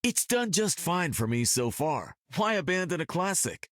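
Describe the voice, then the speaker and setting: A confident American superhero archer for a video game. The delivery is wry and casual, with lively swings in pitch, recorded dry and close. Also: male voice, normal speed, dry recording. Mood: cocky.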